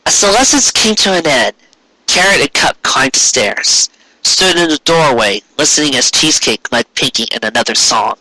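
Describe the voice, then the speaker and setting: A man reading a story aloud as an expressive narrator. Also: medium pitch, normal speed, no room sound, low-quality recording. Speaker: narrator, fan fiction reader.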